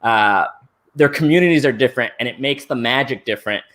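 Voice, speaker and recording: A man's voice: medium pitch, a little fast, fairly bright tone. A man, a magician guest, talking casually and at length over a video call, full of fillers. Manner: casual, rambling, lots of "like" and "uh". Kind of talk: live-stream interview.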